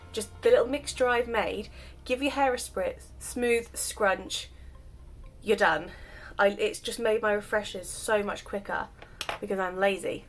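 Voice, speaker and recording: A woman chatting casually while she demonstrates styling her wavy hair, her voice just recovered from illness. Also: mid-range voice. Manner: chatty, self-deprecating, explains while doing. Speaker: hair vlogger. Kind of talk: casual hair tutorial chat.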